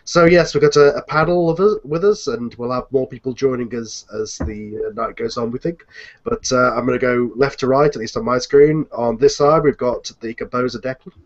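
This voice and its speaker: A man's voice: medium pitch, brisk. A man casually hosting an online group chat, rambling along and correcting himself as he goes. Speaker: host of an online cast chat. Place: online group video call. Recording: dull, lo-fi online call audio.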